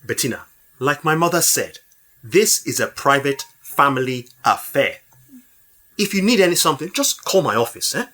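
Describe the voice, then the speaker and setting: A man acting a polite, smoothly evasive young businessman groom in an audio drama. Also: a little high, sing-song, normal speed, bright, forceful tone, exaggerated voice. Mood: flustered, firm.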